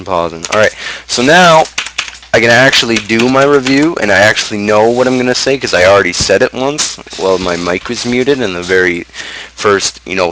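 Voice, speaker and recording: Low-voiced man hosting a livestream. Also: background noise. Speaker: streamer and show host. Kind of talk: casual livestream chat.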